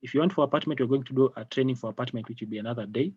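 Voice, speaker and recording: A man with a Kenyan accent leading a live technical product training online, speaking haltingly and repeating phrases. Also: medium pitch, darkish tone, slightly rough, dry recording. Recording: dull lo-fi online call audio.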